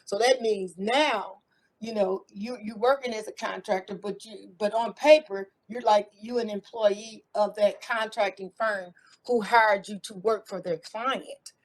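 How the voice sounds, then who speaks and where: A woman's voice: medium pitch, steady tone, a little slow, low-quality recording. A middle-aged American woman talking in a casual interview, rambling and restarting with repeated words. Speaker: guest, former IT contractor.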